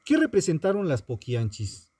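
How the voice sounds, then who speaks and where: A man narrates a scripted true crime piece in a solemn, dramatic and deliberate manner. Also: on the high side, huge pitch swings, dark tone, breathy edge, dry recording, studio quality. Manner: solemn, dramatic, deliberate phrasing with rhetorical questions. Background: music.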